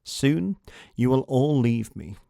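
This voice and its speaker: A kindly, solemn religious teacher voiced by a male narrator speaks in a measured, grave way. The pitch is middling and the storybook recording is dry. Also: lively intonation, vocal fry, warm tone, no room sound.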